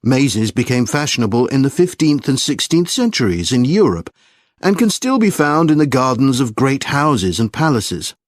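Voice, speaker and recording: Lively-pitched man giving a scripted lecture. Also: normal speed, no echo, clean studio sound. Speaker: lecturer in a listening test.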